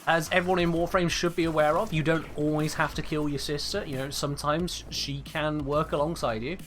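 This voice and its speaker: A man's voice: fairly high pitch, steady tone, a little fast, fairly bright tone, faint music behind. A man in his twenties with an English accent, chatting casually while gaming live and reading out viewer messages with playful mock indignation. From England. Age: 20s. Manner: playful, mock-indignant, reads chat aloud. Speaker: game streamer.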